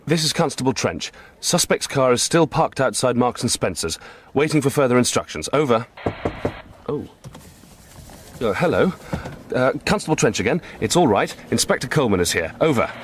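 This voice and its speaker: A man's voice: mid-range voice, very animated, normal speed, close to an everyday voice. Male actor as eager, stumbling British constable, mid voice, faint street noise. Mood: flustered. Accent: British English. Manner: hesitant, stumbling. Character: eager, nervous young male constable on stakeout. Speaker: police constable.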